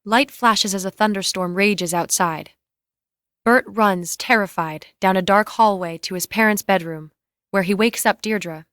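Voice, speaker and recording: A woman's voice: medium pitch, a little slow, fairly bright tone, dry recording. A woman reading scripted scene directions in a plain, clipped narrating style, close on the microphone. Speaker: reader of stage directions.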